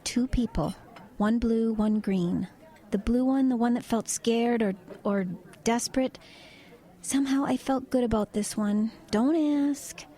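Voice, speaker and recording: A woman's voice: on the high side, mellow. An actress playing a matter-of-fact woman truck driver in an audio drama, recounting eerie visions in a hesitant, conversational way. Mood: thoughtful, searching.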